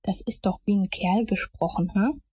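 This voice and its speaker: A woman voicing a cold-hearted, vengeful male murderer in an audiobook, with a gruff, coarse way of talking. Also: medium pitch, moderate pace, dark tone, dry recording, lightly acted. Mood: cold, manipulative. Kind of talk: audiobook character acting.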